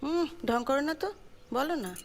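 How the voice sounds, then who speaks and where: An actress playing a teasing, bossy sister-in-law in a naturalistic household drama, with a familiar, prodding manner. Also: female voice, sits high, fairly quick, a little hiss or hum. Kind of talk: naturalistic film drama dialogue.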